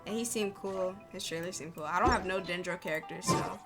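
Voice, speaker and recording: A woman's voice: on the low side, brisk. A woman streaming a video game, chatting casually and informally as she reacts to it in a laid-back way. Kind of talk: casual chat and reactions while playing. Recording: loud game music behind. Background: video game music and dialogue.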